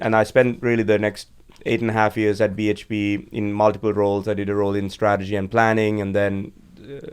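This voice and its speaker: Low, even-toned man telling his story. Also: clean tone. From Sri Lanka. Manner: measured, steady storytelling with frequent uh and um fillers. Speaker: guest, energy executive. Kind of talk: conversational interview. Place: podcast studio.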